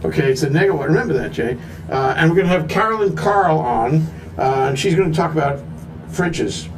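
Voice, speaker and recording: A man's voice: a little high, expressive, fairly quick, slight background noise, low-quality recording. A man hosting a live talk show, joking and rambling with fillers.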